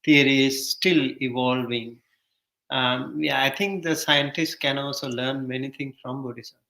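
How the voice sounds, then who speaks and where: A man with an Indian accent, a monk who answers slowly and hesitantly with many pauses. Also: medium pitch, steady tone, gravelly, pushed and bright, roomy sound, low-quality recording.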